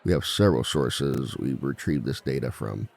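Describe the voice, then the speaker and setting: Very deep, gravelly villain lecturer, played by a man. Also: expressive, a little mellow, full character voice. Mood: composed, formal. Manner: measured, articulate, formal, dryly self-assured. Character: reformed male villain giving a polished seminar on romance. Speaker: seminar speaker and relationship expert. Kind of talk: scripted comedic lecture roleplay.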